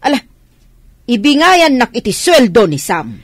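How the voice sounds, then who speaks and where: A woman's voice: fairly high pitch, sing-song, measured pace, dry recording. Nagging, grasping mother-in-law. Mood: demanding, indignant. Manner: sharp nagging, indignant exclamations. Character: greedy, demanding older mother-in-law, nagging about money. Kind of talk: Ilocano radio drama acting.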